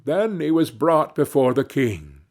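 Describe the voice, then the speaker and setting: A man narrating a scripted fairy tale as an audiobook reader, in a measured storybook manner. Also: a little high, big pitch swings, a little mellow.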